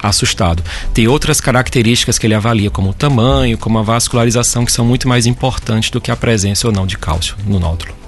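Man, doctor guest, measured explainer, faint background hiss. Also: medium pitch. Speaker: head and neck doctor guest. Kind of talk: explaining medical topics on air.

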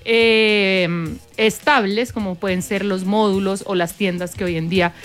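A woman with a Bogotá Colombian accent, a guest on a talk program, explaining her work in measured, step-by-step answers. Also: mid-range voice, fairly even intonation, a bit bright, faint music behind. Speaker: guest, corporate sustainability manager.

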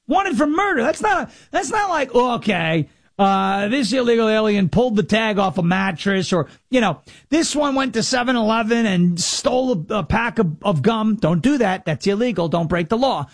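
A man's voice: sits high, lively intonation, normal speed, no room sound, studio quality. A man from Long Island hosting a talk radio show, ranting with sarcastic, indignant commentary. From New York, Long Island. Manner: sarcastic, indignant, mocking impressions. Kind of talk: political talk radio monologue.

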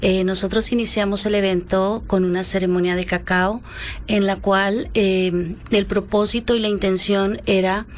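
A woman from the Mexico-Guatemala border who grew up in Guatemala, answering interview questions calmly and reflectively, with frequent hesitations. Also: mid-range voice. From Mexico-Guatemala border, raised in Guatemala. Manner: calm, reflective, many hesitations. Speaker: guest, wellness facilitator.

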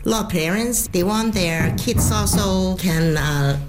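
A woman's voice: lowish voice, measured pace, music in the background. A woman teacher whose first language is Cantonese, speaking in an interview with plain, simple phrasing.

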